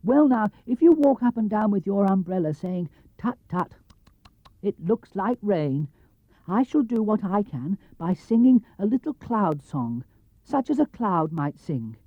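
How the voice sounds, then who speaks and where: A man voicing an earnest, naive toy bear who plots in slow, deliberate phrases for a storybook reading. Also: high-pitched, very animated, no echo, voice pushed up, full character voice. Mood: earnest, scheming. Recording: muffled vintage cassette or LP sound.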